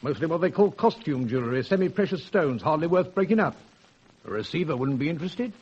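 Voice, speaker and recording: An actor playing a shrewd, respectful police sergeant in a radio drama, measured and analytical. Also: male voice, fairly high pitch, big pitch swings, a little mellow, husky. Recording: dull old radio recording.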